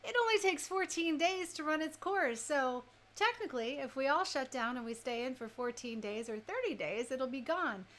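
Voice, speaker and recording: A woman with a somewhat high voice hosts a live radio show. She talks casually and chattily, addressing her listeners directly as if in conversation with them. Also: animated, normal speed, hardly any pauses, a bit bright. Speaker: radio DJ and host.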